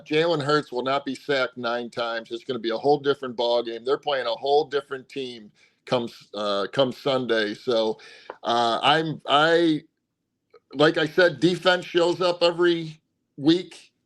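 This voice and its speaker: A man co-hosting a sports podcast, giving his picks in casual chat, animated and stressing points with a dropped voice. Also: low-quality recording. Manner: animated, drops pitch to stress points, frequent restarts.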